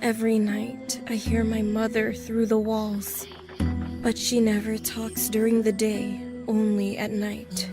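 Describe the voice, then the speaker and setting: A woman's voice: fairly high pitch, completely flat delivery, a little slow. A woman narrating a scary story in the first person. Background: prominent background music.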